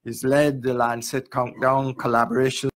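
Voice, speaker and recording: An older man formally introducing a speaker at a conference podium, measured and pausing with fillers. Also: medium pitch, flat delivery. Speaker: event chair. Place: hotel conference room. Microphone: podium microphone.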